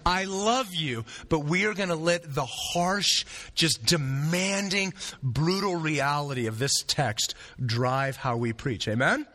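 A man's voice: a little high, slow, sharp tone. A man preaching a sermon as pastor, earnest and repeating phrases for emphasis, with a sore throat and a fading voice.

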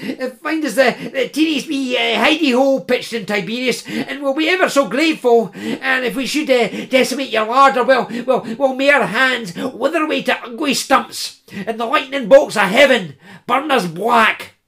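A man's voice: extremely high pitch, moderate pace, vocal fry, fairly bright tone, voice pushed up, full character voice. A man voicing a hungry, jittery young male student who stammers and wheedles. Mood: wheedling. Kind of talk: storybook character voice.

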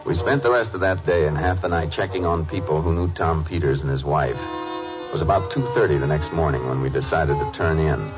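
Low-voiced, firm lawman. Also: loud music behind the voice. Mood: narrating. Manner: terse, measured, authoritative. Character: calm, firm male Texas Ranger investigator. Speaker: lead detective and narrator. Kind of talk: 1950s radio drama acting. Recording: dull old radio recording.

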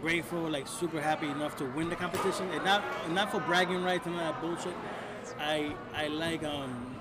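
Fast-talking earnest man, noisy outdoors. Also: on the high side. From Puerto Rico. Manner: earnest, quick, stumbling restarts. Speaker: chef. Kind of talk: casual chat. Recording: loud noisy outdoor ambience.

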